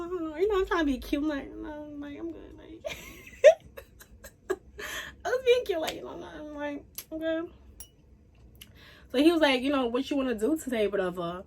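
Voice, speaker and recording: A young woman from Haiti chatting casually through a storytime, animated and full of fillers. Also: high voice. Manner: chatty, animated, says "like" and "y'all" a lot. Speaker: YouTuber.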